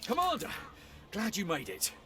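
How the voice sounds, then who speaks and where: An actor playing an anxious, excitable common soldier in a fantasy video game, jittery and exclamatory. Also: male voice, high voice, normal speed, a little hiss or hum, full character voice. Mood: shaken, relieved.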